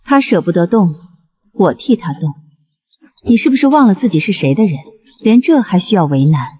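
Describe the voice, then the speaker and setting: Mellow, husky, coldly scheming royal mother played by a woman. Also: mid-range voice, very animated, normal speed. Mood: cold resolve. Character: cold scheming imperial consort mother, older, ruthlessly devoted.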